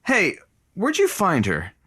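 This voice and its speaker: A man's voice: high-pitched, huge pitch swings, slow, a bit bright, breathy edge, heavily acted. A man playing a scruffy, sardonic, flirtatious radio station colleague in an audio comedy drama, wry and sly in manner. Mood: wry, curious.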